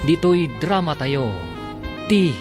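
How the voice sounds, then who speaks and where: A man's voice: a little high, slow. A man narrating a scripted radio drama as its announcer, with a formal, dramatic delivery. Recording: music behind the voice.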